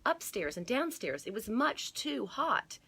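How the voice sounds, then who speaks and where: A woman hosting a children's storytime, reading aloud and pausing to explain and point things out to her listeners. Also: a little high, very animated, fairly bright tone, husky. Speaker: storytime host at a museum garden. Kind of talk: read-aloud storytime for children with chatty asides.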